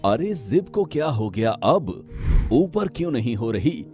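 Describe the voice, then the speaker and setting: A man's voice: mid-range voice, sing-song, a little slow, dark tone, faint music behind. A man playing a flustered, stern, easily exasperated schoolteacher in a cartoon. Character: flustered, scolding adult male schoolteacher. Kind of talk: cartoon voice acting.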